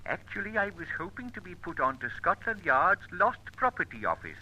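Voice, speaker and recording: An actor playing a suave, witty British gentleman outlaw in an old radio detective drama, wordy and mock-courteous. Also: high voice, sing-song, brisk, full character voice, rough recording. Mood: teasing, suave.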